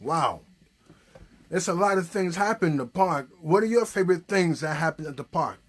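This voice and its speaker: A man's voice: fairly high pitch, animated, moderate pace. A man hosting an improvised puppet show, prompting a story along with conversational questions. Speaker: puppeteer host.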